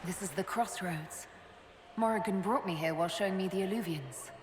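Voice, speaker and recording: A woman's voice: on the low side, fairly quick, noisy background, natural voice. An actress playing a dry-witted, commanding woman leader of adventurers in a fantasy game, decisive in manner. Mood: wary, curious. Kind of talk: dramatic fantasy game acting.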